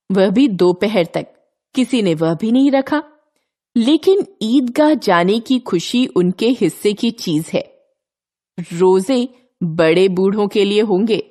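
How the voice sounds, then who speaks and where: A woman's voice: mid-range voice, mellow. A woman narrator reading a scripted children's story.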